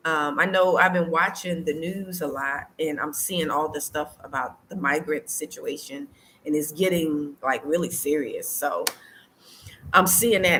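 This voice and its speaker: Woman, American accent, low voice, casual livestream chat, conversational, repeats herself for emphasis, faint background noise. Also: fairly bright tone. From United States. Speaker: vlogger hosting a live stream.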